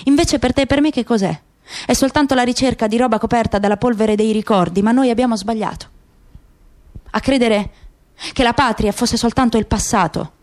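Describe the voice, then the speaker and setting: A woman voicing a grave, proud, disillusioned Palestinian father in a radio play, with solemn, deliberate, impassioned speech. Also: on the high side, darkish tone, natural voice.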